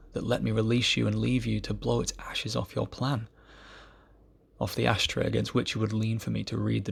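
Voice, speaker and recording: A man giving an amateur poetry reading in a solemn style, with measured, deliberate phrasing. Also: fairly low pitch, gravelly, breathy edge.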